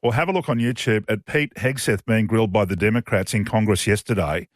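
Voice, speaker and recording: An Australian man co-hosting live talk radio, speaking emphatically and repeating himself in a halting flow. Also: fairly low pitch, no room sound, clean studio sound. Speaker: radio talk show co-host. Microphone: close studio radio microphone.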